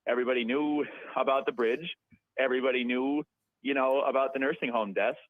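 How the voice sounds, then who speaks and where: A man's voice: medium pitch, steady tone, brisk, no echo. Chatty man calling in by phone. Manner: chatty, laughs often, rambling. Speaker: caller. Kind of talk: phone-in conversation. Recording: tinny dry phone line.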